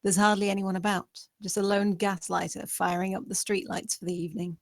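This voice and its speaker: A woman's voice: mid-range voice, flat delivery, fairly quick, gravelly, dry recording, studio quality. A woman reading out screenplay stage directions as scripted narration.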